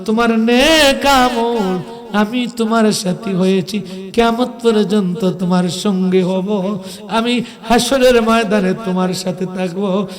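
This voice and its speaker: High-pitched man preaching emphatically. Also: soft background music. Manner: emphatic, slow, breaks into melodic chant and drawn-out cries. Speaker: Islamic preacher. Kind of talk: impassioned waz sermon, dramatized storytelling with chanting.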